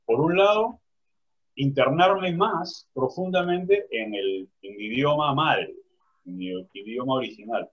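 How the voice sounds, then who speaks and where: Husky man, thoughtful, on a lo-fi call. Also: a little high, lively intonation, unhurried. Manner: reflective, hesitant, restarts phrases. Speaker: writer in a panel discussion. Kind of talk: casual discussion.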